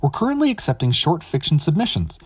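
A man's voice: on the high side, wildly swooping pitch, fast, husky, no echo. A man hosting an audio drama podcast, reading a scripted, upbeat outro. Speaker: host of an audio drama series.

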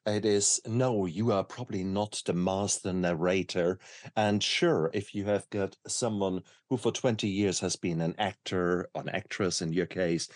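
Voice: low voice, darkish tone, no echo. An interview host speaking conversationally, informal and affirming toward the guest. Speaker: interview host, book co-author.

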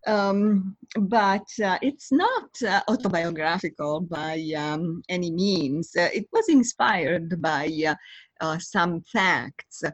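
Slow, raspy older woman. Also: on the low side, animated, no room sound, dull audio. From Italy. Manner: halting, thoughtful, pauses often mid-sentence. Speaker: guest, novelist and literature professor. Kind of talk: casual interview answers.

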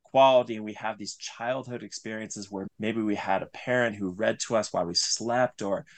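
A man's voice: on the low side, fairly even intonation, normal speed. A man speaking as an interview guest, explaining his creative thinking in an earnest, discursive, analytical way. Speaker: interview guest, audio drama writer. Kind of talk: reflective interview talk about craft.

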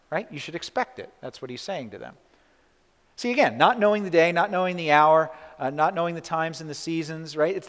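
A man's voice: a little high, long reverb tail. A man preaching a sermon as pastor, talking conversationally with many "right?" asides and restarts.

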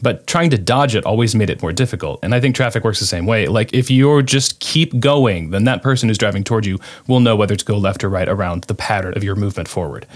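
A man from Southern California co-hosting a podcast, telling anecdotes in a relaxed way. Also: fairly low pitch, quick pace, hardly any pauses. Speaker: podcast co-host. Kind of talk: conversational podcast talk.

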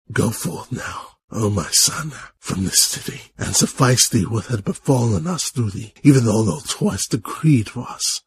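An actor playing a grieving, stern old king with a rough voice, reproaching solemnly in archaic audio-drama language. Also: on the high side, exaggerated voice.

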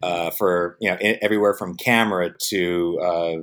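Middle-aged man, lively interview storyteller. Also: normal speed. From United States. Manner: animated storyteller, acts out quoted voices with jumps in pitch; repeats words when restarting. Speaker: film producer guest. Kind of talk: casual interview talk.

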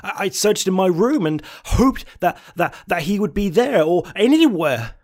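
A man playing a desperate, obsessed confessor in a horror story, halting and repeating words. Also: high-pitched, big pitch swings, a little mellow, pitched above the performer's own voice, heavily acted. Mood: troubled, wistful. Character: unstable, haunted anonymous confessor on tape, desperate and obsessed. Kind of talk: dramatic first-person horror monologue.

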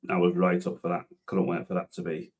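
A man's voice: sits low, little pitch movement, fairly quick, darkish tone. A man, a transport manager chatting casually as a podcast guest, rambling with many restarts. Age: 30s. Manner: rambling, many restarts and fillers, self-deprecating. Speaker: interview guest, transport manager and driver trainer.

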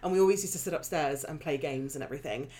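A woman's voice: sits low, very fast, dark tone. A woman co-hosting a podcast from home, bantering playfully and teasing her friend. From England. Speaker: podcast co-host. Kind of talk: casual chat. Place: home flat.

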